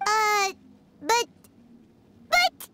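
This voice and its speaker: Squeaky, very high tiny fairy. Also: female voice, big pitch swings, drawn-out words, pushed and bright, exaggerated voice. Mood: hesitant. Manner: childlike, speaks of herself in third person. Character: tiny childlike fairy companion, squeaky, naive, earnest. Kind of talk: video game character acting.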